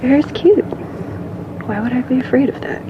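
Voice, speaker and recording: A woman playing a playful, sassy young companion to a captive merperson in an ASMR bedtime roleplay, often whispering in a breathy, affectionate way. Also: high voice, expressive, lo-fi sound, noisy background. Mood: teasing. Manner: snarky, affectionate, hushed, chatty and halting.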